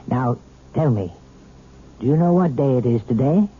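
An actor playing a calm male hypnotist who talks in a soft, measured, persuasive way, in a 1970s radio drama. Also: mid-range voice, slightly rough. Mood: coaxing. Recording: tinny old radio broadcast with music.

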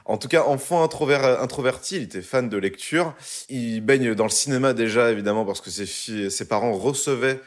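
A man's voice: medium pitch, a little fast. A young man co-hosting a casual podcast, telling a story in a loose, joking way with lots of fillers. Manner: jokey, loose delivery with many fillers. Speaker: podcast co-host presenting a segment. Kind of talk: casual chat.

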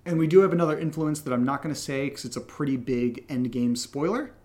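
A man's voice: a little high, quick pace, darkish tone. A man co-hosting a casual question-and-answer chat, telling stories in a playful, self-deprecating way. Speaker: podcast co-host and audio drama writer.

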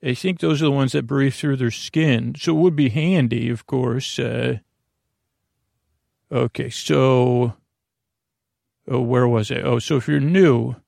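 Creaky-voiced, meandering man hosting a podcast. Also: medium pitch, a little mellow, no echo, clean studio sound. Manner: meandering, halting, full of tangents; soothing, lulling delivery. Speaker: podcast host. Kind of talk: rambling bedtime podcast introduction.

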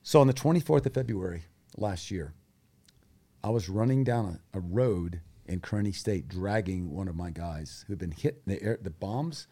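A guest who grew up in Thailand recounts hard experiences with intense, emotional urgency. His voice is low and dark, with a mellow warmth. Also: moderate pace. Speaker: guest, humanitarian group founder. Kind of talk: conversational interview talk.

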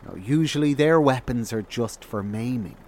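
An actor playing a blunt, world-weary, defiant survivor in a naturalistic horror audio drama. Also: male voice, medium pitch, lively intonation, normal speed, darkish tone, a little hiss or hum, lightly acted. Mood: wary, wry. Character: jaded, defiant lone male survivor in a horror apocalypse.

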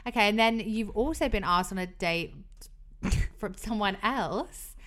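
A woman's voice: medium pitch, lively intonation, unhurried, fairly bright tone. A young woman hosting a podcast, giving blunt, emphatic opinions in casual chat. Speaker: podcast host.

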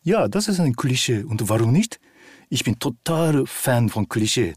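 A calm, relaxed man with a deep voice and a Japanese accent, answering interview questions hesitantly in a language not native to him. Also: measured pace, breathy edge, no echo, studio quality. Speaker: actor and opera singer, interview guest.

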